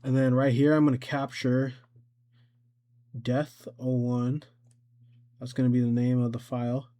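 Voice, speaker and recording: A man giving a casual software tutorial, rambling and thinking aloud as he works through each step. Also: mid-range voice, monotone, measured pace. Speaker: tutorial presenter.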